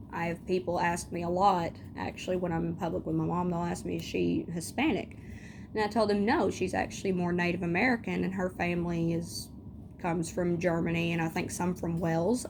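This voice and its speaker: A young woman with a gravelly, scratchy voice and a drawl, chatting casually and drifting from one tangent to the next. Her voice sits fairly low for a woman. The home recording has a noticeable hiss running under her. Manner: rambling, chatty, tangents and mild swearing. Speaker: beauty vlogger. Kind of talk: casual chat.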